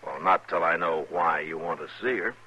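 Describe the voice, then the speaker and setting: Deep, laconic frontier marshal, old radio. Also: male voice, pushed and bright, breathy edge, heavily acted. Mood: guarded. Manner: hesitant, dry, unhurried. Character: laconic, patient frontier marshal, gruff but decent. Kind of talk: 1950s radio western acting and narration. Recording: muffled vintage radio broadcast.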